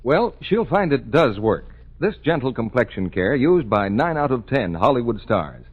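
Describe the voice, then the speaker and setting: A man working as a radio announcer, giving a polished, persuasive 1940s sales pitch. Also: very animated, normal speed. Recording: dull, rough vintage 1940s radio recording.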